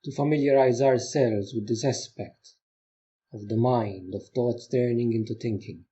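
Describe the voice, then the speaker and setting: Male meditation guide, mellow and husky, gentle instructional delivery with pauses, lo-fi muffled sound. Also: mid-range voice, steady tone. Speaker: meditation teacher.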